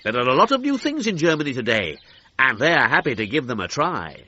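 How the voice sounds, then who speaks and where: Chipper 30s radio commentator, sharp forceful voice, slightly rough, lo-fi. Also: male voice, medium pitch, big pitch swings, brisk, exaggerated voice. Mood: upbeat. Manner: descriptive, presentational. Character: chipper 1930s male British newsreel-style commentator. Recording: slightly dull, lo-fi period radio sound.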